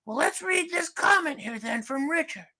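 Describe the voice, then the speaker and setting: A man doing a shrill falsetto hostess. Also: animated, moderate pace, pushed and bright, heavily acted. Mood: gushing. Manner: falsetto, starts lines with "well", fawning. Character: shrill fake woman hostess, gushing. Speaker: livestream host. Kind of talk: comedic livestream character bit.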